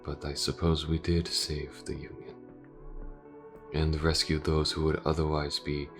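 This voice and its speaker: A man acts out a composed, reserved male detective in a game-inspired audio roleplay. His voice is extremely low and slow, formal and carefully measured, with gentle reassurance. Faint music plays underneath. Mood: dry, warm. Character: calm, reserved male police detective caring for wounded partner. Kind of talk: audio roleplay, character acting.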